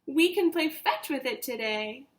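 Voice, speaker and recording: A woman voicing an eager young Martian boy in an expressive children's storybook read-aloud. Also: sits high, measured pace, a little hiss or hum. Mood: excited.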